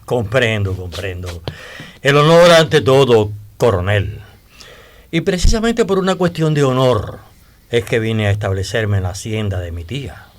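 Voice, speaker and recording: An actor playing a courteous, flattering gentleman newcomer in a historical radio drama, speaking formally and expressively. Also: on the low side, a little slow. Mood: earnest.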